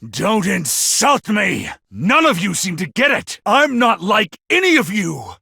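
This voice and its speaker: A deranged anime game villain with a god complex, sneering with open contempt. His voice is high for a man and rough with gravel, swinging wildly between menace and screams. He draws his words out theatrically. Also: wildly swooping pitch, unhurried, bright, forceful tone, no echo, clean studio sound, heavily acted. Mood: defiant fury.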